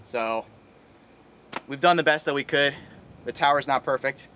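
A man's voice: medium pitch, normal speed, sharp tone. Casual American man, faint outdoor noise. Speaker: sailing vlog host. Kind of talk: casual explaining to camera. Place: outdoors on a sailboat in a boatyard. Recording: outdoor recording with ambient noise.

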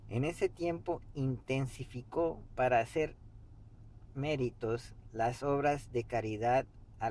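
A man in his fifties reading a book aloud meditatively in a halting way, pausing mid-sentence, recorded inside a car. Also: medium pitch, rough recording. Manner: halting, pauses mid-sentence, clears throat. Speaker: amateur reader of a book. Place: inside a parked car by a street. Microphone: camera an arm's length or so away. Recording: steady background noise inside a car.

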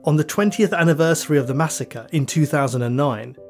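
A man hosting a true crime podcast, reading scripted narration in a measured, dramatic storytelling manner. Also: fairly high pitch, darkish tone. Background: background music.

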